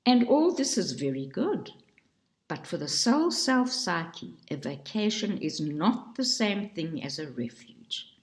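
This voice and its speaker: A woman reading a book aloud in a slow, measured way, now and then stumbling over a word. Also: echoey room. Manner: slow, measured reading with lively pitch and occasional slips. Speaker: reader.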